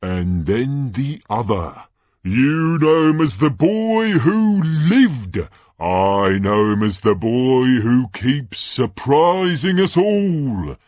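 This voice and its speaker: A man voicing a flamboyant, gushing tournament announcer in a storybook reading, with booming, drawn-out delivery. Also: very animated, a little mellow, no room sound. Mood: showy, suspenseful. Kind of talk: stage-play sports commentary read aloud.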